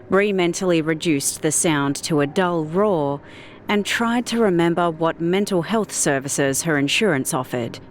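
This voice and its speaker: A woman reading a fictional story as an audiobook narrator, in a measured, deliberate storytelling manner. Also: sits low, slight background noise.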